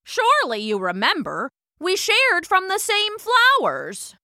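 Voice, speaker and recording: A woman voicing a proud, indignant queen bee who speaks deliberately and emphatically in a children's story. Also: extremely high pitch, huge pitch swings, pushed and bright, dry recording, studio quality, pitched above the performer's own voice, full character voice. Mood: insistent.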